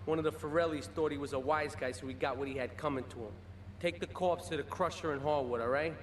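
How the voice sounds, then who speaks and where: An actor playing a cocky young American mobster mechanic, talking in streetwise slang in a video game cutscene. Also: male voice, a little high, steady tone, quick pace, close to an everyday voice. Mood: businesslike, firm. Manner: streetwise, casual slangy talk. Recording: echoey reverb, background noise.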